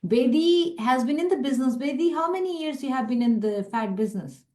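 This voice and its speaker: A woman's voice: high-pitched, expressive, a little fast, a little mellow, reverberant. A woman hosting a live video chat, telling rambling stories in a chatty way and laughing easily. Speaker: host of a live interview. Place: sunny room at home in Melbourne. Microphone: video call.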